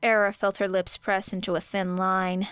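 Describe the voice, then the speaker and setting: A woman narrating an audiobook of a fan fiction story in a steady, even storytelling manner. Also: mid-range voice, moderate pace, clean tone, no echo. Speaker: podfic reader.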